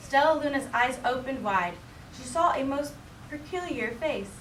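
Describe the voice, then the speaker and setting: A woman teacher reading a picture book aloud expressively to a class, in an animated way. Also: high voice, normal speed. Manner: animated, acts out character dialogue. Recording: roomy, distant mic with background noise.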